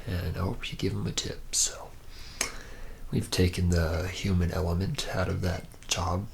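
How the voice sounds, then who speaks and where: Male actor as boozy womanizing factory mechanic, very deep and flat in pitch, loose, laid-back ASMR roleplay delivery. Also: measured pace, vocal fry, a little hiss or hum. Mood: rambling, casual. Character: charismatic, drunken, hard-living womanizing senior robot mechanic.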